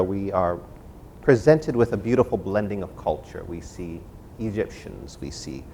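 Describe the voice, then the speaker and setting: A low-voiced man acts as host and moderator of a live arts discussion. His phrasing is deliberate and theatrical, with key words given clear emphasis. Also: a little hiss or hum.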